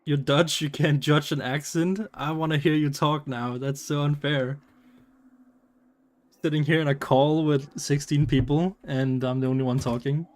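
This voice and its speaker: A man's voice: on the high side, steady tone, unhurried, darkish tone. A man from Denmark with a Danish accent, chatting casually on a live stream, rambling with many fillers and restarts.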